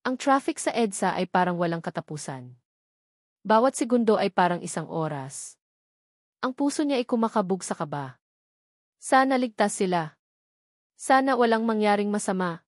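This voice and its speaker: Female audiobook narrator for melodrama: mid-pitched, scripted dramatic delivery, dry close sound. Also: expressive, normal speed, long pauses, no room sound.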